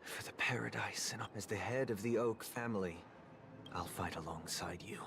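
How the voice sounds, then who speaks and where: An actor playing a composed, formal young head of a noble family in a video game, measured and courteous. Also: male voice, on the low side, gravelly, background noise. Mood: solemn.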